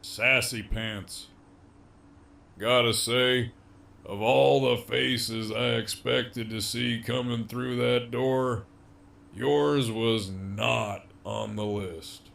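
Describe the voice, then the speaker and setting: Man as male prisoner villain, scratchy fry, unhurried, sardonic, swaggering, audio drama. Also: mid-range voice, fairly even intonation, a bit bright, full character voice. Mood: sly teasing. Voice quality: harsh, scratchy, gravelly. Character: sly cocky imprisoned male villain with damaged throat.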